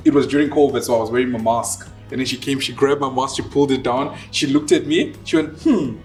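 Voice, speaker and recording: Male, twenties, Southern African accent, animated casual storyteller in an interview, faint guitar music behind. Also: medium pitch, fast talker. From Zimbabwe and South Africa. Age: 20s. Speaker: interviewee telling his relationship story.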